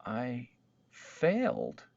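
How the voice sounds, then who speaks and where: A man's voice: medium pitch, wildly swooping pitch, very slow, vocal fry, darkish tone, no echo, highs cut off, voice pushed up, exaggerated voice. A man voicing an earnest young girl inventor in a storybook, dramatic and full of self-doubt. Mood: dejected. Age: child. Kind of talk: storybook read-aloud character voice.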